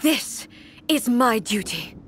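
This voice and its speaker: A woman playing a centuries-old deity ruler in a video game, speaking with formal, grave and measured oratory. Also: fairly high pitch, very animated, soft background music. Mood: solemn, resolute. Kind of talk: dramatic video game voice acting.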